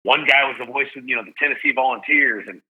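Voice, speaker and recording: A middle-aged man, a sports announcer, telling stories as a podcast guest in an energetic, chatty way, often saying "dude" and "like". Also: medium pitch, fast talker. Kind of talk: casual storytelling interview. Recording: narrow phone-like sound.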